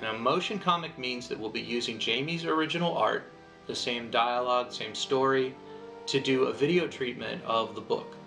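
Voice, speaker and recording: Bright-voiced man making a pitch. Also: moderate pace, gravelly, faint music behind. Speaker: writer and project presenter. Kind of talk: scripted crowdfunding pitch.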